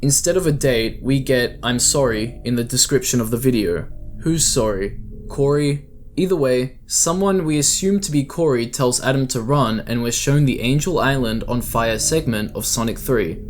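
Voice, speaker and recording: A man's voice: mid-range voice, moderate pace, faint music behind. A man giving scripted, explanatory narration as the host of a video essay.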